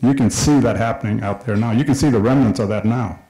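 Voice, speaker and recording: Impassioned man with a mellow voice. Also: on the low side, fast, low-quality recording. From Kenya. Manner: impassioned, emphatic, repeats key points. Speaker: developer speaking at a public listening session. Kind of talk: public comment speech. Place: large hall with a screen, indoors. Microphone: handheld microphone.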